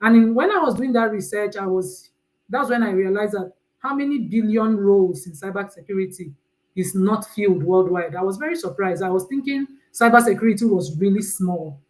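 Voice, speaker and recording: A Nigerian woman hosts an online panel over a computer mic on a video call. She talks in a chatty, loose way and restarts her sentences often. Her voice sits at a middle pitch. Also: a little fast, poor audio. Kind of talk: casual live-stream hosting.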